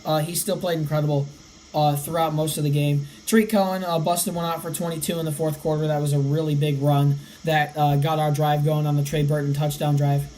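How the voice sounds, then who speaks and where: A young man around eighteen hosting a casual sports podcast, his voice slightly raspy, rattling off game stats. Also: on the high side, level tone, fairly quick, warm tone, slight background noise, poor audio. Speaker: podcast host.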